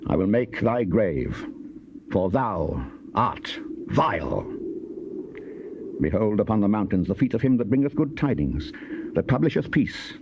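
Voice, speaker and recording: A man's voice: on the low side, sing-song, unhurried, pauses often. A man acting a grave, wrathful Old Testament prophet in a solemn, declamatory scripture dramatization. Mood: solemn, assuring. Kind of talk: dramatized King James scripture reading. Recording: dull, noisy cassette tape.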